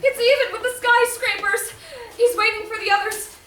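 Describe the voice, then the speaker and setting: A woman's voice: very high voice, steady tone, normal speed, a crowd in the background. A woman playing a horrified radio reporter in a 1930s-style radio drama, giving clipped, declamatory eyewitness bulletins on a stage. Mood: alarmed. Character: doomed radio reporter watching the invasion, increasingly horrified. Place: live theatre stage. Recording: echoey stage, distant microphone.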